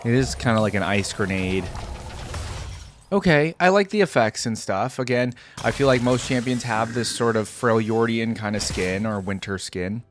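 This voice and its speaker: A man's voice: mid-range voice, normal speed, slight background noise. A man giving casual reaction commentary as a video creator, thinking aloud and hedging his opinions as he goes.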